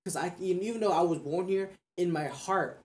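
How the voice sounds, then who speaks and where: Higher-voiced young man, earnest and slangy. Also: brisk. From United States. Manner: earnest, slangy, repeats himself for emphasis. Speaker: podcast speaker. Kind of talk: casual chat.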